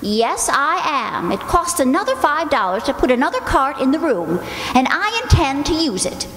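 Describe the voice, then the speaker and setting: A woman's voice: high-pitched, very animated, moderate pace, fairly bright tone. A woman playing a nagging, penny-pinching, fretful wife in old-time radio comedy, complaining in an echoey hall. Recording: echoey hall, long reverb, background noise.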